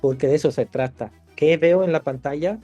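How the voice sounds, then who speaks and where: Screenwriter guest from Italy, male, relaxed dark timbre, reflective with restarts, faint music bed. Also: fairly high pitch, normal speed. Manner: thoughtful, many restarts and fillers. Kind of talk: podcast interview.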